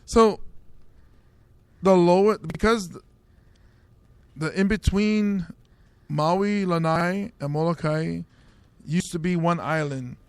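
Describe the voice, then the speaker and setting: A man's voice: high voice, takes it slowly, pauses often, clear, resonant tone, no room sound. A man from Samoa hosting a casual comedy podcast, riffing on the news and laughing at his own bits. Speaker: host, stand-up comedian.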